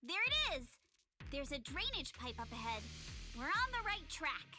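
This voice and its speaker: A woman's voice: high-pitched, big pitch swings, normal speed. A woman voicing an eager, upbeat young girl explorer in a video game. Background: game music.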